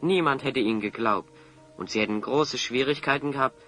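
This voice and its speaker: A man's voice: medium pitch, brisk, sharp tone, soft background music, close to an everyday voice. A man acting a clever, confident hero in an audio drama, articulate and assertive as he reasons things out. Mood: triumphant, explanatory. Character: clever, confident male hero explaining a scheme.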